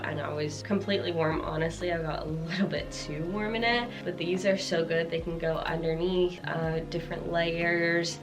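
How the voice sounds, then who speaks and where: A young woman vlogger chatting casually about her clothes, a little croaky from a cold, with lots of fillers. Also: deep voice. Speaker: fashion vlogger. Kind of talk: casual chat showing clothes. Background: lo-fi music.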